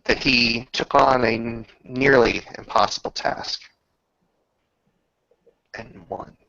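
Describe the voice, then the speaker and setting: A man acting as game master, telling a tabletop roleplaying story in a measured, grandiose manner with drawn-out pauses. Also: medium pitch, gravelly, bright, forceful tone. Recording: slightly dull, roomy home recording.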